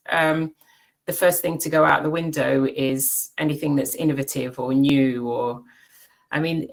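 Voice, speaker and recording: A middle-aged British woman, a chief executive, giving an unscripted online presentation, thoughtful and halting with many fillers. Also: low voice, poor audio. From Britain and Cyprus. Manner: thoughtful, many ums and restarts. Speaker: CEO of an education organization.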